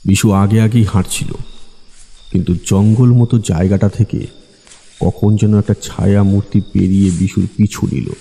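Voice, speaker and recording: A male audiobook narrator reads a scripted ghost story. His voice is deep and extremely mellow, carried in a long reverb. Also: steady tone, moderate pace, creaky, a little hiss or hum.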